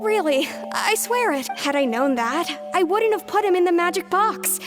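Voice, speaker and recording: A woman's voice: very high-pitched, animated, normal speed, over music. An actress playing an earnest, defensive young female thief in a video game, insisting on her innocence. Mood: defensive pleading.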